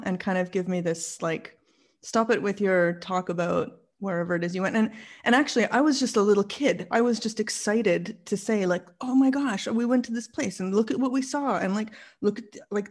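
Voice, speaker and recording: A woman in her forties with a Canadian accent, telling personal stories casually and chattily as a podcast guest. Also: mid-range voice. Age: 40s.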